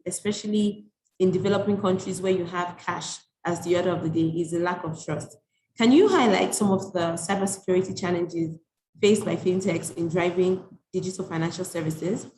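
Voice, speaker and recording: A woman moderating a panel discussion, asking questions in a measured way with frequent "you know". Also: lowish voice, darkish tone. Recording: echoey room with faint noise.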